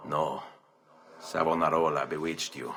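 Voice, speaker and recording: A righteous young assassin for a video game. His voice is very deep, with a firm, bright edge and an Italian accent. He speaks slowly and gravely, weighing each phrase. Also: level tone, heavily acted. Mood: stern. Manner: grave, measured. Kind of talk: dramatic video game acting.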